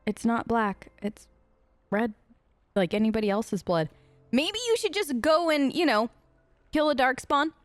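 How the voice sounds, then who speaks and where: Casting: female let's play host, high pitch, dry close-mic sound, chatty sarcastic role-play. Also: expressive, measured pace, long pauses, no room sound. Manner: sarcastic, conversational. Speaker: gaming video host.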